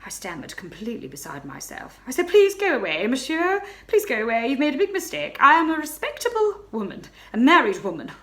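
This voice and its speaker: A woman's voice: medium pitch, lively intonation, moderate pace, a bit bright. A woman voicing a flustered young married baroness who confides her misadventure, stammering and breathless. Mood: panicked.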